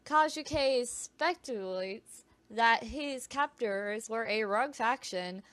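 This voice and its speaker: A woman's voice: on the high side, takes it slowly, a bit bright, no room sound. A woman reading scripted creepy true stories in an informal way, stumbling over words now and then with self-conscious asides. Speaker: video narrator.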